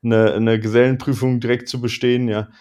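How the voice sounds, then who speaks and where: A German man hosting a casual interview, chatty and asking leading questions that end in a quick check for agreement. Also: mid-range voice, flat delivery, darkish tone. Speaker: interviewer, podcast host.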